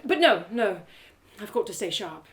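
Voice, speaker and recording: A woman's voice: mid-range voice, big pitch swings, natural voice. Woman playing a guarded, terse warrior woman. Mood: brooding. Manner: terse, reluctant, halting. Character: Trinia, guarded, icy, troubled warrior woman. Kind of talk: fantasy audio drama reading.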